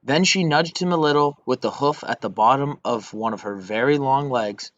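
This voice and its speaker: Low-voiced young man reading a children's story. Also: normal speed, a bit bright. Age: young adult. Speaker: volunteer storybook reader. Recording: dull lo-fi recording.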